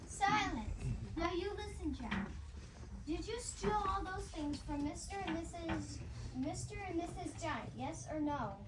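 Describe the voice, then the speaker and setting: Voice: very high-pitched, expressive, measured pace, far from the mic. A child playing a stern fairy tale judge in a school reader's theatre show, recorded from afar in an echoey, noisy room. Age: child, third grader.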